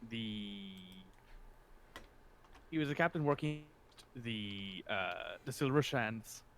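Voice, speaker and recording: A man's voice: medium pitch, lively intonation, slow pace, fairly bright tone, clean tone. A man talking casually as a player in a tabletop game session.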